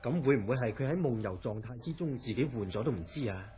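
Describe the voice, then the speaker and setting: An actor with a Hong Kong Cantonese accent playing a curious, easily astonished institute director in a radio drama, questioning with theatrical disbelief. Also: male voice, mid-range voice, lively intonation, fast, warm tone, lightly acted. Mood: puzzled. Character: curious, astonished male institute director hearing eerie story.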